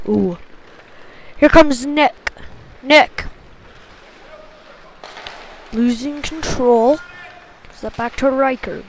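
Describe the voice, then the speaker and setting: High-pitched woman calling hockey play-by-play. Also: a little hiss or hum. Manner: short clipped phrases, following play as it happens. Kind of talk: live sports commentary.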